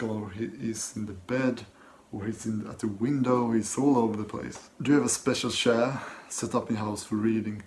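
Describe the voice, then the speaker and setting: Soft, hesitant Swedish man. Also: fairly low pitch, a little hiss or hum. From Sweden. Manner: soft-spoken, hesitant, many ums and restarts. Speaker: book channel host. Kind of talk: casual Q&A vlog, reading and answering questions. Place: home room, seated at his desk.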